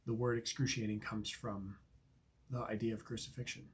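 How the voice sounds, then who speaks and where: Devotional host, man, congested, husky and low, unhurried reflective delivery. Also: flat delivery. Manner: earnest, reflective, with many ums and uhs. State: stuffy from allergies, sniffling. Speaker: Bible reading podcast host. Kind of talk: devotional commentary and scripture reading.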